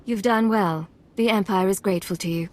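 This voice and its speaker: A woman playing a dutiful, businesslike imperial agent in a video game, speaking crisply and with composure. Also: mid-range voice, brisk, a little mellow, natural voice. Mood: composed, brisk. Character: brisk, dutiful young female imperial agent. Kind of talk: scripted video game dialogue.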